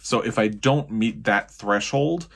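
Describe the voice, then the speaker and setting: Deep-voiced American man chatting casually. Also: measured pace, gravelly, fairly bright tone. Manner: rambling, self-correcting. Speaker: book vlogger. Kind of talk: casual chat to camera about reading.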